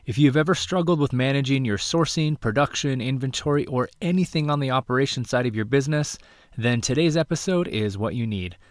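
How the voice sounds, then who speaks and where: A man's voice: mid-range voice, animated, fairly quick, no echo. A man hosting the show, reading a friendly scripted introduction to the episode.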